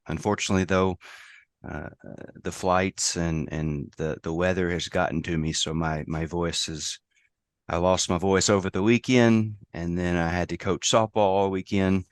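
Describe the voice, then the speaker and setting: Guest spot: American man, very low voice, hoarse, dry close sound. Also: fairly even intonation, no room sound. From United States. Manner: halting, many restarts and fillers. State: hoarse from a lost voice. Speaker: guest, safety professional. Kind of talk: casual interview conversation.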